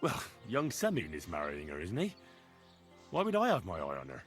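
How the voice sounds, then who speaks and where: An actor playing a young medieval blacksmith's helper in a video game, cheeky and loyal, with a dry, plain-spoken manner. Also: male voice, low voice, huge pitch swings, normal speed, faint music behind. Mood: wry. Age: young adult. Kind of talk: video game dialogue acting.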